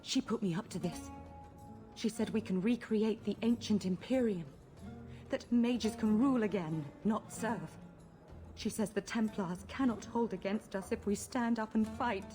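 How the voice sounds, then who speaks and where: An actress playing a seductive, manipulative blood mage courtesan in a video game. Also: female voice, on the low side, animated, unhurried, a little mellow. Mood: anxious, urgent. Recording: game audio with music behind.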